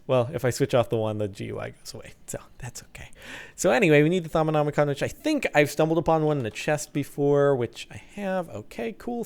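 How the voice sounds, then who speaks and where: Upbeat, gravelly young gamer guy. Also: a little high. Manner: rambling, upbeat, self-deprecating. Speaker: let's play host. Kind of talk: casual gameplay commentary.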